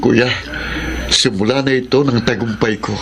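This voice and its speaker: An actor playing an earnest, grateful young university student in a Filipino radio drama, with a Filipino accent. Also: male voice, medium pitch, fairly even intonation, moderate pace, loud music behind the voice, close to an everyday voice. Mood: grateful, excited. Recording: noticeable background ambience noise.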